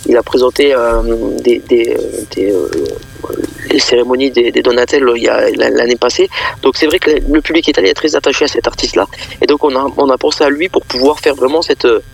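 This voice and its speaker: A man speaking off the cuff as a festival organiser and guest in a radio interview, halting and often repeating words mid-phrase. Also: medium pitch, gravelly, slight background noise.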